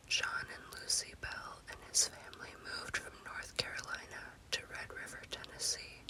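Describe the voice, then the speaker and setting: Slow, crisp whispering woman, ASMR storyteller. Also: rough recording. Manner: hushed, unhurried whispering. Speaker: host and storyteller.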